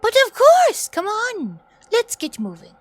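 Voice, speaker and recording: A woman voicing an eager teddy bear companion in a game, coaxing and reassuring in drawn-out theatrical phrases. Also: very high voice, huge pitch swings, no room sound, exaggerated voice. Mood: cheerful. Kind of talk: video game character dialogue.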